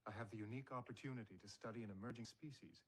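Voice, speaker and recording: An actor playing a curious, calm male alien scientist in a television drama clip. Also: mid-range voice, very noisy, close to an everyday voice. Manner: calm, measured.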